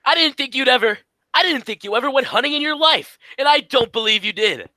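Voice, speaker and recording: A woman's voice: high-pitched, expressive, brisk, pushed and bright, no echo. A woman playing a blustery, exasperated male straight man in an old-time radio comedy, scolding and repeating phrases emphatically.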